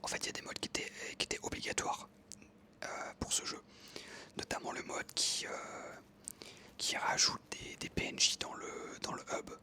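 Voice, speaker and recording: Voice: moderate pace, pushed and bright. A young adult whispering breathily in a casual ASMR style, chatting informally and enthusiastically while narrating gameplay up close. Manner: informal, enthusiastic gamer talk.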